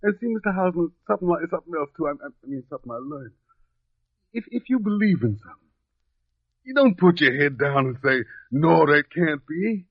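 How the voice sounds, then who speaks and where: An actor playing a humble, grateful young country man, speaking haltingly and earnestly in an old radio drama. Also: on the high side, lively intonation, slightly rough, no room sound. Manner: halting, earnest, folksy ungrammatical speech. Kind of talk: 1950s radio drama acting. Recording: tinny, phone-like old radio recording.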